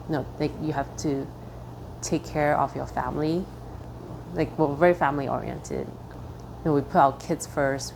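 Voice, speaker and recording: A woman raised in Singapore, speaking thoughtfully as a guest in a personal interview and often pausing to search for the right words. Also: sits low, darkish tone, slight background noise, poor audio.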